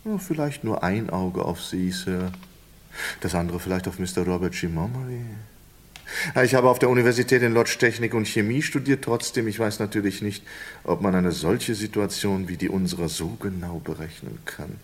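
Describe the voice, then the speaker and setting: Man acting a deep-voiced, silkily menacing prisoner. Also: close to an everyday voice. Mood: coolly taunting. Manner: silky, sardonic, drawn-out politeness. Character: doomed polite foreign prisoner, educated, mockingly calm and menacing. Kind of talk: German crime radio play acting.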